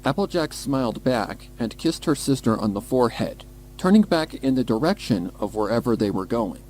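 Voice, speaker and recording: A man narrating a scripted dark story in a measured, dramatic way. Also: medium pitch, big pitch swings, slight background noise, poor audio. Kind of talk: scripted story narration, horror fan fiction. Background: background music.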